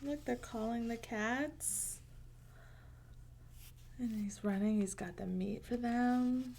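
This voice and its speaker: Slow-paced woman reading a storybook aloud. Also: a little high, creaky. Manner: gentle, unhurried, pausing between short phrases. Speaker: storyteller reading a picture book aloud to children. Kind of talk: read-aloud narration with casual asides on the pictures.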